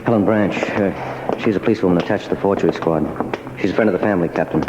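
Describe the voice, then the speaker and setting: Casting: male actor as sober cop sergeant, lowish pitch, terse delivery, vintage radio serial with muffled audio. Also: fast, echoey room, natural voice. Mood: somber. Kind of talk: 1940s radio crime drama acting. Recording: dull, noisy old radio recording.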